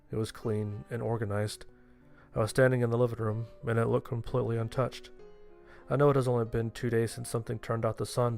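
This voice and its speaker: A man's voice: mid-range voice, gravelly. A man reading a scripted first-person horror story as its narrator.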